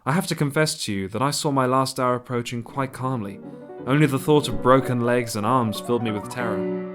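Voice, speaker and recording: A man playing a 19th-century composer in a radio play, reading his letters aloud in a measured, reflective way. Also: mid-range voice, music in the background, lightly acted. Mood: recounting, calm. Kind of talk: dramatised reading of a personal letter.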